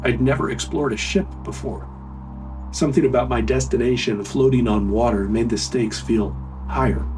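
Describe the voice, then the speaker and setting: A man narrates a scripted horror story in the first person. His voice is medium in pitch and sits a little way off from the mic, with music clearly playing behind it. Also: steady tone, moderate pace, distant mic.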